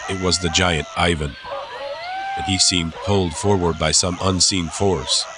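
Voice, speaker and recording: Male narrator for an adventure audiobook: low voice, suspenseful delivery, clear ambient sound effects behind. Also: wildly swooping pitch. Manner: suspenseful, deliberate phrasing. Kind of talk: scripted dramatic audiobook narration. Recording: clear background ambience noise.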